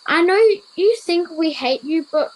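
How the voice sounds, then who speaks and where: A woman's voice: very high voice, unhurried, poor audio. A girl of ten or eleven playing a sensible, caring boarding-school pupil in an amateur radio play. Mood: concerned, reassuring. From Australia. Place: at home over a video call.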